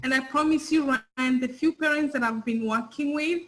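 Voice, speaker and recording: A woman's voice: high-pitched, moderate pace. Earnest woman from Africa, Swahili speaker. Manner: earnest, heartfelt, repeats words. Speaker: guest, parent. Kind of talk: casual interview chat.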